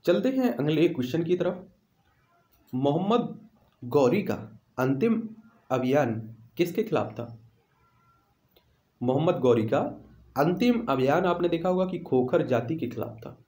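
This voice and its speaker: A man's voice: a little high, expressive, unhurried, long pauses, warm tone. A man teaching a quiz lesson, repeating questions and answers and checking in with listeners. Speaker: exam-prep teacher. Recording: roomy, echoing voice recording.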